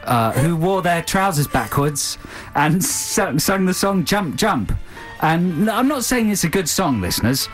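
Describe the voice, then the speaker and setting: A man's voice: high voice, very animated, unhurried, hardly any pauses, faint music behind. A man co-hosting a radio show, bantering playfully and teasing callers. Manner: playful, teasing, laughs often. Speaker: radio DJ co-host.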